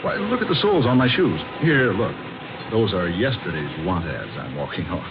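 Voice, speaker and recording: An actor playing a broke, jobless, friendly wisecracker in a folksy, slangy 1940s radio drama style. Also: male voice, lowish voice, very animated, normal speed, over music. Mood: wry, resigned. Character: broke, jobless, friendly wisecracking man sleeping on park bench. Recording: muffled, noisy old radio recording.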